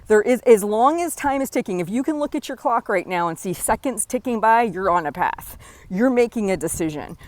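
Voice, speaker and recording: A woman's voice: on the high side, a little mellow, no room sound. Woman in her 40s giving a pep talk on her podcast, earnest and direct, dead dry close mic. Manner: earnest, encouraging, direct address with frequent "right?". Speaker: podcast host. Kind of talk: motivational solo talk.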